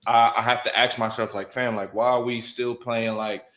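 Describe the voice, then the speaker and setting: A man's voice: mid-range voice, little pitch movement, moderate pace, fairly bright tone. An American man talking casually on a podcast in a laid-back, slangy way, full of fillers. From United States. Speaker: podcast guest and DJ. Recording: roomy echoey podcast audio.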